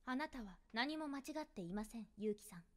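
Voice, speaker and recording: A woman's voice: a little high, expressive, fairly quick, in a large hall, close to an everyday voice. A woman playing an emotionless, dutiful android girl in an anime visual novel, speaking in formal, clipped phrases. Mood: calm, detached.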